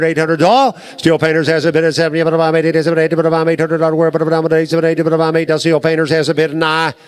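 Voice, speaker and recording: A male livestock auctioneer rattles off bids in a rolling, rhythmic chant, repeating numbers over and over. His voice sits a little high and comes through crisp and clean. He talks at a breakneck clip.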